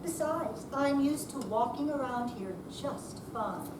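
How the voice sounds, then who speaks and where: Slow-talking stubborn older lady played by a woman. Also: sits high, animated. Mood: defensive. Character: stubborn independent older woman, defensive cat owner. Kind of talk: readers theater dramatic reading. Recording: echoey room, far mic, clear noise.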